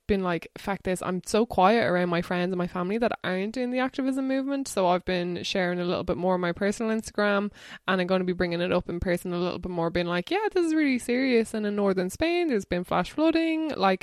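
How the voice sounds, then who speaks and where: A woman's voice: medium pitch, dry recording, clean studio sound. A woman hosting a podcast, talking earnestly and casually with lots of fillers. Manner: chatty, earnest, swears casually, many fillers. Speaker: podcast host.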